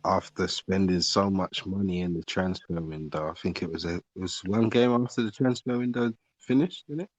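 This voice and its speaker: A man's voice: low voice, normal speed, no echo. A man chatting casually about football in slangy speech full of conversational tags. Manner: slangy, often tags phrases with "you know what I mean". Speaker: podcast panellist.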